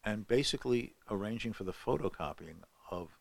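A man's voice: fairly low pitch, expressive, a little fast, gravelly, no room sound. An older man telling a long story on a podcast, with many fillers and restarts. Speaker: guest scholar. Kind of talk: podcast conversation.

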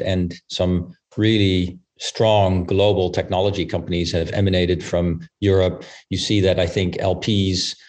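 A man speaking as an interview guest on a business podcast, thinking aloud in a halting, discursive way. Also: low-pitched, a little mellow. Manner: halting, discursive, frequent "you know" tags. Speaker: investment firm partner, panel guest.

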